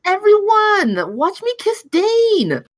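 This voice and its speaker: Gushing college party girl, acted by a woman, extremely high, swooping delivery, table read. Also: wildly swooping pitch, takes it slowly, no room sound, exaggerated voice. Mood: exuberant. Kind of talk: live screenplay table read.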